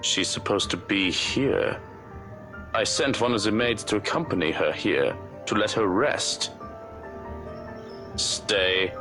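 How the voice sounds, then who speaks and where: A man's voice: fairly low pitch, slow pace, pauses often, over music. A man voicing a stern, dry, protective servant in a visual novel, speaking formally and precisely with a mild scolding tone. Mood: firm, pleading. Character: stern, dry, protective male servant to a troubled young master. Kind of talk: visual novel character acting.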